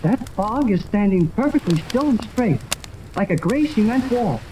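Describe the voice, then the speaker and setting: A woman playing an eager, excitable boy sidekick in a 1930s radio adventure serial, with earnest exclamations. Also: medium pitch, normal speed, natural voice. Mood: uneasy, awed. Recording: noisy old 1930s radio recording.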